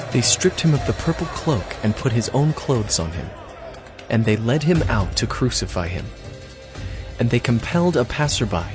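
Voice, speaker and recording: A man narrating scripture from a script in a dramatized audio production. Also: mid-range voice.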